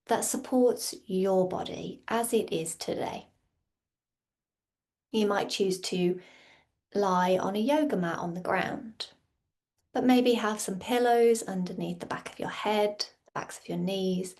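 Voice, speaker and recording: A woman guiding a meditation as a yoga teacher, speaking softly and soothingly in short, unhurried phrases with long pauses and a slightly breathy voice. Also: medium pitch. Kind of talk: guided meditation narration.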